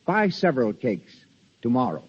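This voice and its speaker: Husky, lively male vintage radio announcer. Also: mid-range voice, moderate pace. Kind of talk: 1930s scripted radio advertising. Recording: dull, reverberant 1930s radio recording.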